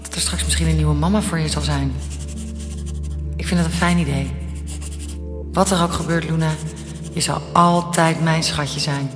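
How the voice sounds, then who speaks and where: A woman plays a tender young mother facing death, in a low voice wrapped in long reverb. She addresses her child intimately, in radio drama style, with music underneath. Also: steady tone, moderate pace, pauses often, natural voice.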